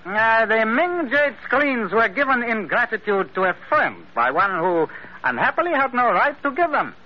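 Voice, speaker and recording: A small, calculating radio-serial villain with a high voice that swings widely. He is courteous and formal, choosing stilted phrases. The old recording sounds dull. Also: sing-song, normal speed, full character voice. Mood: measured, explaining. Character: small, polite, calculating Chinese villain with gun. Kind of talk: old-time radio adventure acting.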